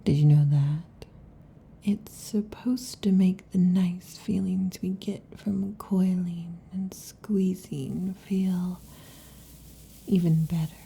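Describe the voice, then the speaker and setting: Woman voicing a whispering, gravelly lamia girlfriend. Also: on the low side, steady tone, slow pace, slight background noise. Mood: teasing whisper. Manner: soft intimate whispering, teasing. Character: female lamia, snake-woman, coiling, possessive, overheated. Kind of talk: ASMR roleplay. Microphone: close sensitive mic.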